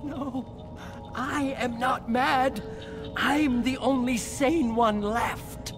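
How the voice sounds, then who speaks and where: A man's voice: very high-pitched, steady tone, drawn-out words, over music, heavily acted. An actor voicing an unstable male scientist in a video game, speaking as an ominous, fervent prophet of doom. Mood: doom-laden, fevered.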